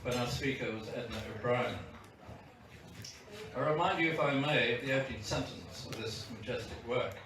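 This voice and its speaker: A man introduces a guest speaker at a launch in a measured, formal way. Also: medium pitch, music in the background. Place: event hall with audience. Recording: echoey hall recorded from far off.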